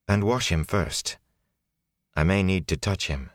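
A man's voice: sits low, a little slow, dry recording, clean studio sound. A man voicing a grim, commanding sorceress. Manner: grim and controlled. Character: grave, commanding sorceress woman.

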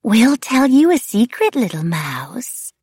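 A woman voicing a sinister, demented female wraith who taunts in a breathy, whispering way with sing-song repetition. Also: on the high side, big pitch swings, takes it slowly, a little mellow, no room sound, pitched above the performer's own voice, heavily acted. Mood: eerie, teasing.

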